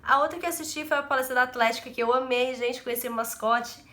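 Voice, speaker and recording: A woman's voice: high voice, quick pace, sharp tone. A seventeen-year-old woman from Brazil, chatting casually to camera in her bedroom, nasal from a cold and self-deprecating. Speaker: vlogger.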